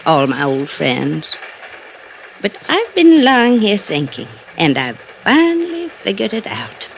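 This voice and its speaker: A frail old socialite lady in a vintage radio play. Her voice is creaky and swings widely as she dreamily, haltingly insists on her illusions. The old recording has clear noise. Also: medium pitch, wildly swooping pitch, heavily acted, poor audio. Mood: wistful, hopeful. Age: older. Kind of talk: old-time radio drama acting.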